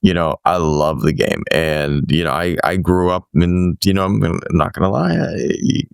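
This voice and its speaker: Middle-aged male podcast host, smooth low voice, dry close sound, loose and rambling. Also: lively intonation, normal speed, no echo. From Boston area, USA. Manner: rambling, many restarts and "you know" fillers. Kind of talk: casual conversational interview.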